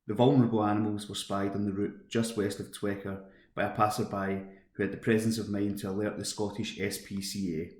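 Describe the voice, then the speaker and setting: Male volunteer news reader, flat, even intonation, scripted delivery in a roomy home recording. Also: fairly low pitch, a little fast, few pauses. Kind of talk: scripted news reading for a talking newspaper.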